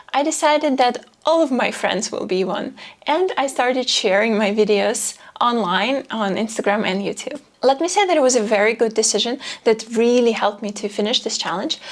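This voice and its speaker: A woman talking to camera in a candid, self-deprecating vlog, with a soft, quiet voice. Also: fairly high pitch. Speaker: vlogger. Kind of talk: reflective vlog talk to camera.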